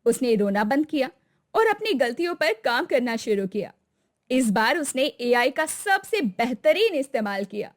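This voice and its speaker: A woman's voice: sits high, big pitch swings, normal speed. A woman narrating a scripted, dramatic cartoon story.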